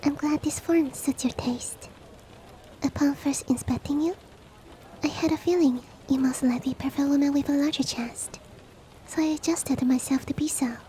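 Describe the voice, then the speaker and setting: Woman acting a high, soft-spoken monster-girl maid. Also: steady tone, normal speed, close to an everyday voice, low-quality recording. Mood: gentle, explaining. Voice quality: soft, breathy, often whispered. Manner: formal, courteous, gently earnest. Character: polite, devoted shapeshifting monster-girl maid seeking a master. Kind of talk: ASMR roleplay. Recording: faint ambient rain noise behind. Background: soft rain ambience.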